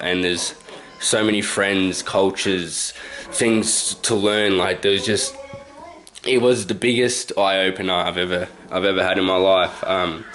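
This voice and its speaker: A man's voice: low voice, fairly bright tone, low-quality recording. A man in his twenties with an Australian accent, telling a casual, rambling story to his handheld camcorder at home, correcting himself and chuckling often. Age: 20s. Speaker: vlogger. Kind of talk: casual story-time monologue. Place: his own house, indoors.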